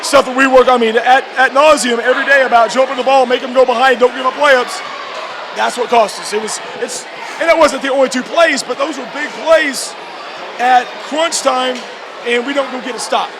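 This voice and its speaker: A man, a high school basketball coach, giving a postgame radio interview with repeated phrases and halting restarts. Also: fairly even intonation. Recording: noisy gym with loud crowd behind. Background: crowd and clear background noise.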